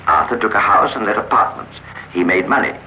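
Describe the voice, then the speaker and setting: A man narrating a 1950s radio drama in a measured, formal storytelling manner. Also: on the low side, animated. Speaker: narrator and host. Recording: muffled, hissy old radio recording.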